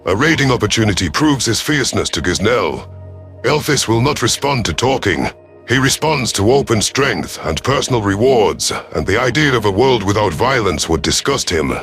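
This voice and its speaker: A man narrating a sci-fi story in character as a stern, calculating alien commander, formal and deliberate, over music. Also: on the low side, big pitch swings, fairly bright tone.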